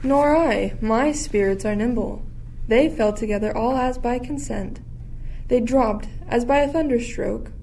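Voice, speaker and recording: A woman's voice: fairly high pitch, measured pace, dark tone, rough recording. A woman playing a sly, ambitious usurping duchess in a Shakespearean radio play, insinuating and theatrical. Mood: smooth, watchful. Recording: faint background noise.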